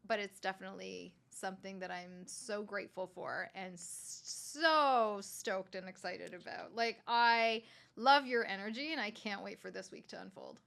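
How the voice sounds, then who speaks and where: Bright-voiced laughing Canadian woman. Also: medium pitch, moderate pace, gravelly. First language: Calabrese dialect. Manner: animated, laughs often, chatty. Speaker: guest chef. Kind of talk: casual podcast interview. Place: hotel room.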